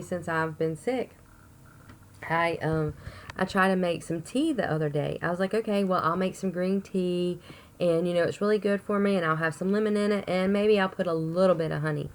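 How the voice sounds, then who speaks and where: A woman with a hoarse voice from a chest cold, rambling chattily to camera in a casual update video with plenty of ums. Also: on the low side. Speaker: vlogger.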